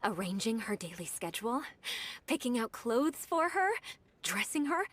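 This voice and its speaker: A woman's voice: on the high side, sing-song, moderate pace, a bit bright. An actress playing an earnest, devoted female secretary in a video game, speaking formally and emphatically.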